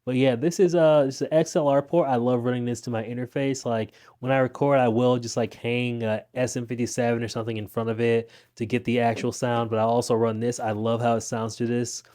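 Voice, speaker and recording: A man's voice: medium pitch, level tone, brisk, hardly any pauses, warm tone. Young guy chilling in his music room, clip mic, rambly slangy gear talk. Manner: laid-back, rambling, slangy. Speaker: guitar teacher and channel host. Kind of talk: casual gear rundown. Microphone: wireless clip-on mic into phone.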